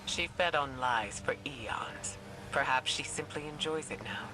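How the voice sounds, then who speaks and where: A solemn mystic mentor from a video game, played by an actor, speaking at a middling pitch with grave, measured weight. The delivery is shrewd and cryptic, and clear noise sits behind the voice. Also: lightly acted. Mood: grave, resolute. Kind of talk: scripted video game dialogue.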